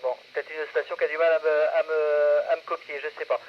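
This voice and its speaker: A man casually hosting an amateur radio net, speaking in halting, hesitant phrases into a radio microphone. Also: on the high side, little pitch movement. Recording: muffled radio audio with background noise.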